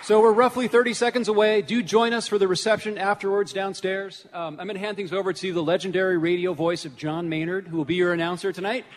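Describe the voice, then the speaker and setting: A man's voice: high-pitched, fairly even intonation, quick pace, few pauses. A man speaking as an event host, chatty and rambling, introducing people casually and off the cuff from a stage. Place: large church hall, on stage. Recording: noisy echoing hall with audience. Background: audience and room noise.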